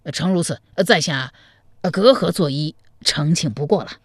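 A man storyteller voicing an anxious, gullible young male suitor who pleads quickly and fretfully. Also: a little high, no echo, studio quality, natural voice. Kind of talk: storytelling, acted dialogue.

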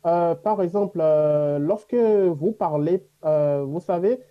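Higher-voiced African man, muffled sound. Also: slow pace. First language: an African language. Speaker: interview guest living in China. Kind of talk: unscripted panel interview answer.

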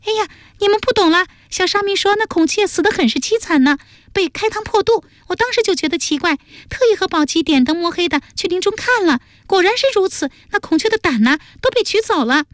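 An excitable young woman from a historical audiobook. Her voice sits very high and swoops eagerly. She chatters in exclamations, animated and insistent, in a dry recording. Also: a little fast, no room sound. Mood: excited. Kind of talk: audiobook character voice.

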